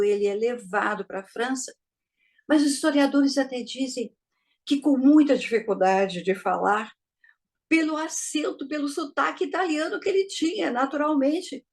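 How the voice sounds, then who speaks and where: A woman giving a teaching talk, measured and posing rhetorical questions to her listeners. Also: high voice. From Brazil. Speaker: spiritist lecturer. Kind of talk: didactic talk to an audience.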